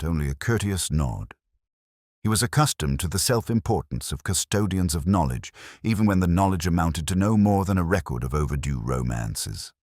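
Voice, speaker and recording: A man giving a measured, literary narration of a period detective story. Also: deep voice, no room sound, studio quality. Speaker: audiobook narrator.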